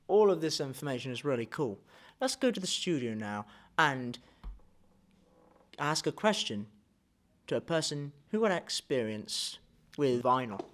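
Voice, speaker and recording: A man's voice: mid-range voice, big pitch swings, unhurried, background noise. A young man presenting a news-style history video in an enthusiastic, informal, exclamatory way. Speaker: student presenter. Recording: music behind the voice.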